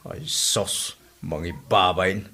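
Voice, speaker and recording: Man acting sharp-voiced henpecked Ilocano husband. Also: deep voice, steady tone, slow pace, heavily acted. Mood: evasive, grumbling. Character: whining henpecked husband accused of cheating. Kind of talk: Ilocano comedy audio drama acting.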